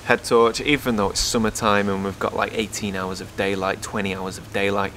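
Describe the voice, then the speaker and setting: Chatty lower-voiced man reviewing gear outdoors. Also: level tone, fairly quick, sharp tone, a little hiss or hum. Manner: chatty and upbeat, lots of asides and fillers. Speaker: outdoor photographer and vlogger. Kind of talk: casual gear review to camera. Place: outdoor garden patio in front of a brick house. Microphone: camera on a stand facing him, close.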